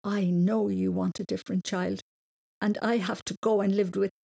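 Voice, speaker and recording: A woman's voice: a little high, sing-song, normal speed, darkish tone, slightly rough, voice pushed up, exaggerated voice. A woman voicing an earnest, anxious young boy who gets easily upset, in a children's audiobook. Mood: angry, hurt. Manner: exclaiming, plaintive, hesitant.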